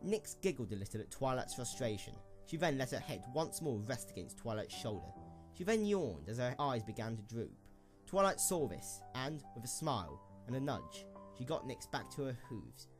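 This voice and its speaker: A man reads scripted story narration in an expressive way. His medium-pitched voice moves widely up and down, and soft music plays underneath. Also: normal speed.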